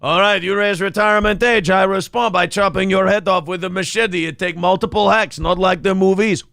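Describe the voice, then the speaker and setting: A man plays an angry protester with a mock French Canadian accent. The threats come in menacing broken English, in a creaky, dry-sounding voice for a comedy show. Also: sits high, fairly even intonation, fairly quick, pushed and bright, dry recording, pitched above the performer's own voice, exaggerated voice. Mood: mock menace.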